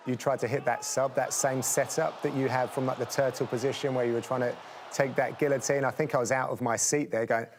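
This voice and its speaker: A man's voice: medium pitch, level tone, a little fast. Guy doing a sports interview, upbeat and friendly, a bit of room noise. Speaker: interviewer and commentator. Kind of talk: interview questions.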